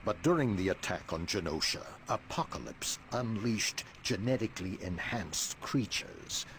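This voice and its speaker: A man voicing a composed, commanding mutant leader in a video game cutscene, with formal, deliberate diction. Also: on the low side, lively intonation, fairly bright tone, a little hiss or hum, low-quality recording. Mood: grave, measured. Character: composed, commanding male mutant leader briefing his team.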